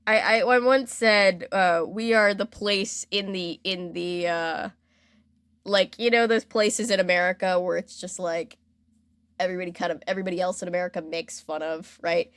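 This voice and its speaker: A woman's voice: lowish voice, fairly even intonation, normal speed, fairly bright tone, smooth, no echo. A woman hosting a podcast, telling a story in a casual, chatty, informal way and acting out imagined reactions.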